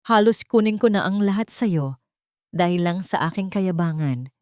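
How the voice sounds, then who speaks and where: Filipino woman doing a proud ceo guy brought low, filipino accent, begging forgiveness haltingly, dramatic story, dry audio. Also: expressive, darkish tone, dry recording, close to an everyday voice. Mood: remorseful, pleading. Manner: halting, repeating phrases in pleading. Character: proud male CEO humbled, remorseful and pleading.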